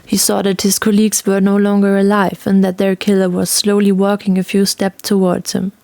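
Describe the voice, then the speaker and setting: A woman's voice: mid-range voice, monotone, brisk, vocal fry, darkish tone, no room sound. A woman narrating a fantasy audio story in a dramatic, scripted storytelling style.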